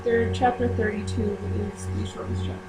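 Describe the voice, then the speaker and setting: A woman chatting casually to her viewers as she runs a book club, rambling with frequent ums and quick self-corrections. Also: on the high side, lively intonation, over loud music, poor audio. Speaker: YouTuber running a book club. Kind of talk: casual chat to camera.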